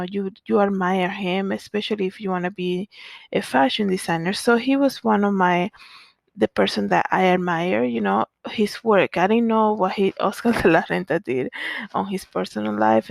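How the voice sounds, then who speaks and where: A woman's voice: mid-range voice, vocal fry, dry recording. A young adult woman from the Dominican Republic, a fashion designer telling a personal story in casual chat, full of "you know" fillers and restarts.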